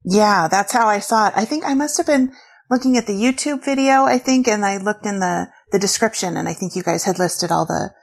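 A woman's voice: mid-range voice, brisk, creaky. A woman of fifty, hosting a casual podcast interview in an effusive, complimentary way. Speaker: podcast host.

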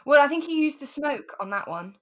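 Animated woman co-hosting a chatty podcast. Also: high-pitched, fairly quick. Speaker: podcast co-host. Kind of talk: relaxed chat recapping a radio drama.

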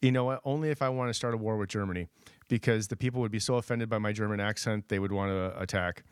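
A man's voice: fairly low pitch, steady tone, fast, smooth, no room sound. A man co-hosting a podcast, talking casually with lots of hesitations and dry wit. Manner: hesitant, full of ums and uhs, dry wit. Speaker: podcast co-host.

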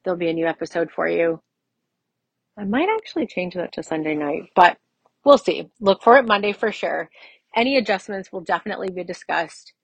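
A woman's voice: on the low side, expressive, a little fast, creaky, breathy edge, no room sound. A woman in her mid-forties hosting a solo podcast, talking in a personal, conversational way and repeating phrases for emphasis. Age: mid-40s. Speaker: podcast host.

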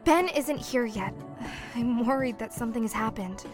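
A woman acting in an audio drama as a menacing mermaid, cool and aloof, with a formal, deadpan delivery. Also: a little high, normal speed, over music, lightly acted. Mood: worried.

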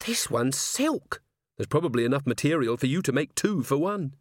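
A man's voice: medium pitch, big pitch swings, normal speed, no room sound, clean studio sound. A man voicing a shy, nervous young female dwarf constable who is awkwardly polite and stammers hesitantly in a storybook reading. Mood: eager, shy. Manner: hesitant, stammering, fumbling over words.

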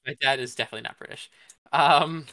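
Bright-voiced young man, dry quips. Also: medium pitch, fast, no room sound. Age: young adult. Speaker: podcast co-host. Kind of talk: casual chat among friends.

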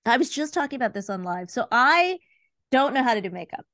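A woman in her forties from Cleveland chats as a guest, pitched a little high and quick, with lots of fillers and restarts. The sound is dry and close, with no room around her. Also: big pitch swings, fairly bright tone, no room sound. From Cleveland, Ohio, USA. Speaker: guest, art consultant. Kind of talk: casual conversational interview.

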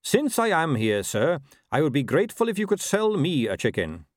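A man voicing a polite, formal horseman with calm menace, in a storybook reading. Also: on the high side, moderate pace, no room sound, clean studio sound, pitched above the performer's own voice. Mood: politely probing. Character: courteous, calmly menacing young horseman, earnest and deliberate.

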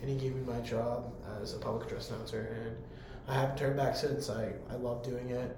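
Hoarse young man in an echoey room. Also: medium pitch, little pitch movement, fairly quick. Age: young adult. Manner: eager, rambling, filler-heavy. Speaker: college public address announcer. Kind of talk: casual interview answers. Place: room on a college campus. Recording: very echoey room, faint background hum.

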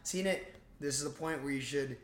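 A man's voice: on the high side, normal speed, a bit bright, slight background noise. A man co-hosting a casual movie review podcast, rambling and swearing freely as he chats. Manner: rambling, profane. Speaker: podcast co-host. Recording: roomy, echoey sound.

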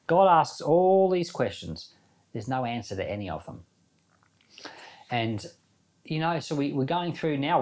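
Guy doing a casual bible talk, average pitch, reads scripture then chats about it, asks lots of rhetorical questions. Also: darkish tone. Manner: conversational, posing rhetorical questions. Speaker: Bible teacher. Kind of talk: informal sermon with scripture reading and commentary.

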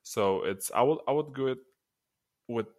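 A man's voice: medium pitch, moderate pace. A man co-hosting a casual podcast, speaking hesitantly with many restarts. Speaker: podcast co-host. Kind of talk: casual chat.

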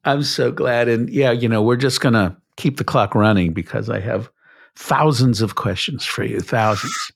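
A man hosting a podcast as a rabbi, speaking slowly with many hesitant fillers in casual interview chat. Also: mid-range voice, creaky, no echo, studio quality. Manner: slow, halting, many uh fillers.

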